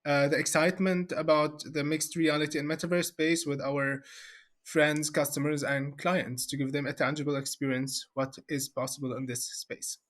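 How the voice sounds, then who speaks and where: A man from Syria, a guest expert in a video interview, talking in a halting way with many fillers and repeated words. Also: fairly high pitch, level tone, fairly bright tone.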